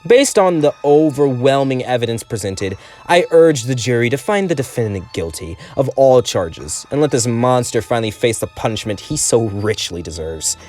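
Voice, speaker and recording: A slick prosecuting attorney, smooth and sure of his case, put on by a male narrator. He speaks formally in a mid-pitched voice close to the narrator's own. Faint music runs beneath. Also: big pitch swings, normal speed, hardly any pauses, a little mellow, close to an everyday voice. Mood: condemning.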